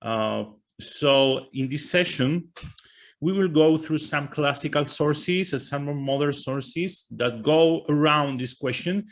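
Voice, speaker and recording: A man's voice: fairly high pitch, slow. An Argentine man, a native Spanish speaker and cantor, teaching informally over a video call and searching for his words in English with an Argentine Spanish accent. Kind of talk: informal lecture on a video session.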